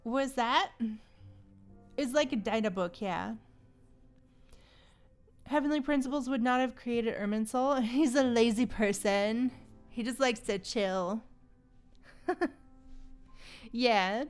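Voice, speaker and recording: A woman's voice: on the high side, takes it slowly, long pauses, smooth, faint music behind. A woman chatting casually on a gaming livestream, thinking aloud in an informal, musing way. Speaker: livestream host.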